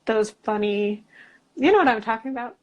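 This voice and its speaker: A woman's voice: on the high side, moderate pace, lo-fi sound. An American woman in a casual chat, talking in a loose, rambling way and saying "like" often. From Utah, United States. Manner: chatty, rambling, uses "like" often. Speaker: guest, illustrator and writer.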